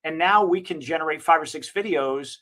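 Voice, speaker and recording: A man who owns a digital marketing agency, giving an enthusiastic, rambling software walkthrough and correcting himself as he goes. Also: a little fast. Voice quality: slightly higher-pitched voice. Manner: enthusiastic, recommending. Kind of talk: casual screen-share software walkthrough.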